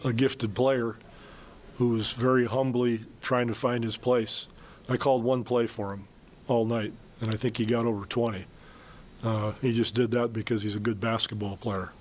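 An older man speaks at a desk mic in a press conference room. He answers postgame questions as a coach in a measured, plain-spoken way, full of fillers and restarts. A faint room hum sits behind him. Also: medium pitch. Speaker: basketball head coach. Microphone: desk mic on stand.

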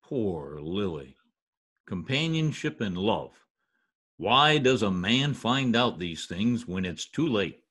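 A man's voice: on the low side, very animated, slow, fairly bright tone, breathy edge, exaggerated voice. A middle-aged man playing an anxious, pompous, theatrical husband in old-time radio comedy style. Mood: anguished. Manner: dramatic, exclaiming. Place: home office, over video call. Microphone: video call computer mic.

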